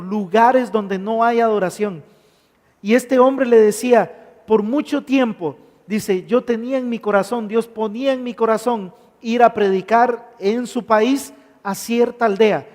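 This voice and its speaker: High-voiced preacher in echoing hall. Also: male voice, darkish tone. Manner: emphatic, repeats phrases in lists. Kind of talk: sermon. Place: church hall. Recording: long hall reverb.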